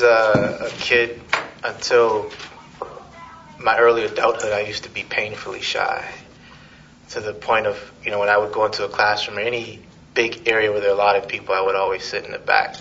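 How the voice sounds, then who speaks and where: A man giving an informal, halting public talk as a political organizer, on a dull, noisy live recording. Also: on the low side, pushed and bright.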